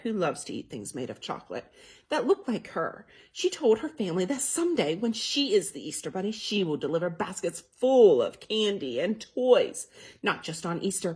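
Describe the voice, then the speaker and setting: A woman's voice: mid-range voice, husky. A woman reading a children's story aloud as a library storytime host, expressively, with asides and questions to young listeners.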